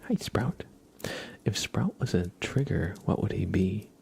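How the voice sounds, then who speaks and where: A man hosting an ASMR livestream in a gentle, hushed voice that often drops into a whisper, close to the microphone. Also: low voice, measured pace, gravelly, slight background noise. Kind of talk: ASMR, soft-spoken and whispered livestream chat.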